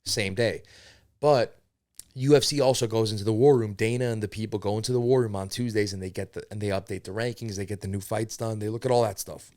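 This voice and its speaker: A man's voice: on the low side, fairly even intonation, fast, a little mellow, no room sound, clean studio sound. A young man who was born in Spain and raised in America, hosting a podcast in a casual, rambling and profane way. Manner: rambling, profane, admits to segues. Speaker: podcast host. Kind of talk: casual solo podcast commentary.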